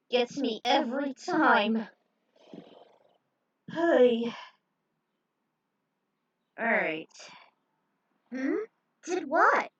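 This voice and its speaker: A woman's voice: fairly high pitch, breathy edge, lo-fi sound. A woman reading a story aloud and adding casual commentary of her own. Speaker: narrator of a fan fiction reading.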